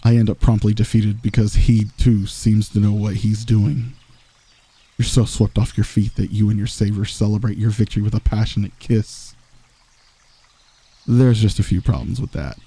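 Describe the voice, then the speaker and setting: A man voicing a smug, flirtatious villain in a soft, intimate, teasing ASMR roleplay, close to the microphone. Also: fairly low pitch, fairly even intonation, moderate pace, husky.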